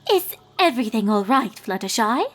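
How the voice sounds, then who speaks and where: A woman's voice: a little high, sing-song, normal speed. A woman voicing a refined, gossipy young female unicorn from a cartoon, talking in a wordy, theatrical, indignant way. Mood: concerned, prim. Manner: dramatic, prim, indignant, wordy. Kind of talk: cartoon fan-fiction character reading.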